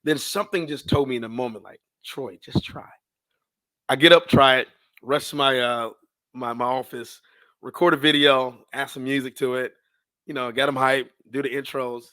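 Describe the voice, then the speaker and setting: An American man in his thirties tells stories as a podcast guest. His pitch sits in the middle and the sound is dry, with no room on it. He talks casually and in slang, voicing his own past thoughts and quick exchanges as he goes. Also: fairly bright tone, dry recording, rough recording. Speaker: podcast guest, sports public address announcer. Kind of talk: casual storytelling in an interview.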